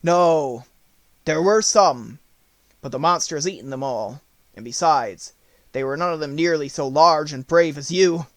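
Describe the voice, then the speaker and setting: Big storybook tiger, played by a male reader, formal and urgent, raised pitch, forceful declaiming, dry recording. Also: measured pace, no room sound, lightly acted. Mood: alarmed. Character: big anxious tiger speaking formally to his king.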